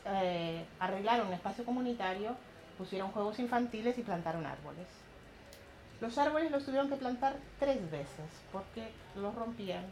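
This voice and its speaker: A middle-aged woman from Chile with a Chilean accent, speaking at a community talk and telling lively stories about her neighborhood projects. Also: medium pitch. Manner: animated storytelling, laughing often, acting out voices of others. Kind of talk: public talk with audience questions. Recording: echoey room, some background noise.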